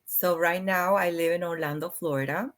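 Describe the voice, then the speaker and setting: A middle-aged woman from Puerto Rico, a clinical psychologist, speaking softly and unhurriedly in an interview conversation. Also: on the low side, steady tone, moderate pace.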